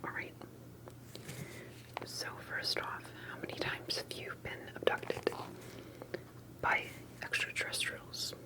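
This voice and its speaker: Someone whispering softly in an ASMR roleplay as an earnest, cautious researcher interviewing with deliberate, halting questions. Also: poor audio. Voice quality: soft breathy whisper. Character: earnest, cautious alien abduction researcher and survivor. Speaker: ASMR performer playing an interviewer.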